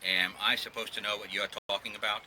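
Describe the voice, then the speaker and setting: A man's voice: fairly low pitch, little pitch movement, fast, sharp tone, a little hiss or hum, rough recording. An actor playing a suspicious young businessman in a radio drama, guarded and cagey. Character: smooth, suspicious young man delivering a bribe.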